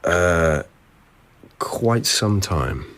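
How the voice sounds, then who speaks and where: An actor playing a thoughtful palace lieutenant and investigator in a radio drama, measured, deferential and probing. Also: male voice, sits low, animated, a bit bright, full character voice.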